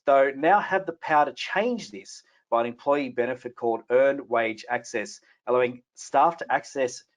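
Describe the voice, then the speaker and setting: A man hosting a live business webinar, reading a scripted opening and then asking loose questions to a panel. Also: mid-range voice. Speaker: webinar host, company CFO.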